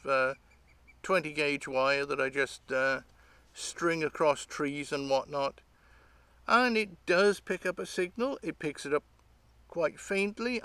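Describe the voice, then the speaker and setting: A man's voice: fairly high pitch, pushed and bright, clear, resonant tone, no room sound. A middle-aged man with a British accent giving a casual, unscripted show-and-tell outdoors, explaining things in a hesitant, rambling way. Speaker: host of an electronics hobby channel. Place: outdoors by leafy trees.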